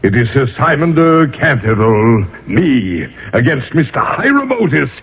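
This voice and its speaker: An actor playing a proud, lovelorn aristocratic ghost in a theatrical radio drama style, in grandiloquent phrases. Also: male voice, medium pitch, big pitch swings, normal speed, husky. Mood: indignant, grandiose. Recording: muffled old radio broadcast recording.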